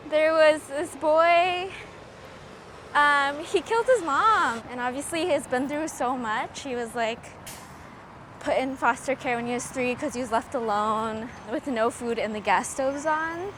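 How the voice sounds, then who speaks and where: A woman's voice: high-pitched, unhurried, a bit bright. A young American woman in casual conversation outdoors, a little hesitant, saying "like" and "kinda" often. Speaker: interviewee, criminal defense mitigation specialist. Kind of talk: casual chat. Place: outdoors on a street. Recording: noisy outdoor street with traffic.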